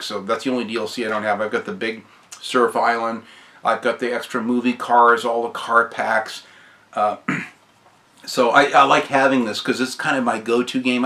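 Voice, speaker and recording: A man with a hoarse voice giving a casual, enthusiastic, rambling review of his game collection, often clearing his throat. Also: medium pitch, bright, forceful tone. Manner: enthusiastic, rambling, repeats praise.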